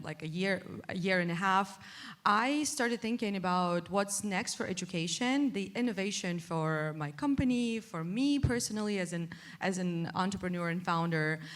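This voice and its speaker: A woman's voice: fairly low pitch, fairly bright tone. A woman tech founder speaking on a conference panel, enthusiastic, with many fillers and restarts. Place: conference stage.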